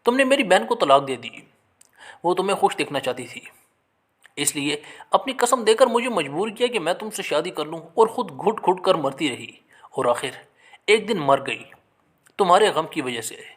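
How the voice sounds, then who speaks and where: Bitter young wife played by a male narrator, grieving and accusing, animated delivery for an emotional storytelling piece. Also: a little high. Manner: accusing, reproachful.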